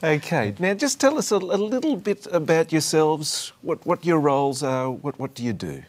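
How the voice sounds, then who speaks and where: A male host leads a studio interview with a lively, animated pitch. He introduces his guests and keeps them talking with friendly questions and short nods of agreement. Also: normal speed. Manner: friendly, affirming with short acknowledgements. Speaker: program host.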